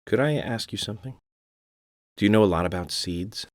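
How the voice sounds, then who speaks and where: A man voices a courteous lawman from a video game. His pitch is very low and the recording is dry. He sounds measured, serious and businesslike. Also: no echo, close to an everyday voice. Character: serious, courteous male lawman on a murder case. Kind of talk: video game cutscene acting.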